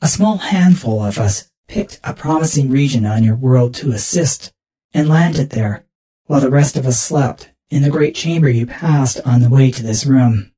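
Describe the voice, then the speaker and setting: A man performs an ancient alien apparition from a science fiction audiobook, solemn and patient. The voice is mellow and husky, set back from the mic, and explains things in a measured, stately, welcoming way. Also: mid-range voice, recorded from a distance, pitched above the performer's own voice, close to an everyday voice, rough recording. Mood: solemn, explaining.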